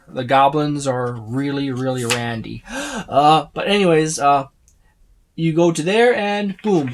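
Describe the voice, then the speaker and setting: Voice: medium pitch, slight background noise. A video host giving a casual, unscripted overview in a rambling, explanatory way with informal asides. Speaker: host of tabletop gaming video channel.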